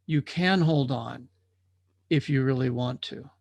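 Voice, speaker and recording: A man's voice: medium pitch, gravelly, a little mellow, dry recording, highs cut off, voice pushed down. Man voicing a grave, ancient riverside watcher. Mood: calm, earnest. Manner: terse, grave, unhurried delivery.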